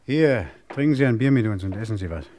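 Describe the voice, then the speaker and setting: An actor playing a self-assured, jovial and ironic police inspector in a crime radio play. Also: male voice, fairly low pitch, animated, quick pace, mellow, a little hiss or hum, lightly acted. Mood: reassuring.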